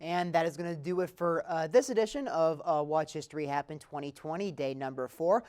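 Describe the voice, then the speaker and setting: A man's voice: a little high, brisk, a little mellow, clean tone. A young American man reporting and interviewing in a presentational, announcer-like broadcast style. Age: young adult. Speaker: student sports and news reporter, interviewer.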